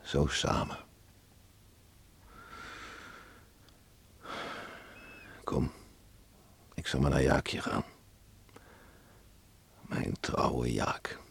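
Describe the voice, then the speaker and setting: An actor playing an older, gruffly teasing and devout beachcomber father in a radio drama, with rustic turns of speech. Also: extremely low pitch, heavily acted. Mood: wistful. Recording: noisy old radio play recording.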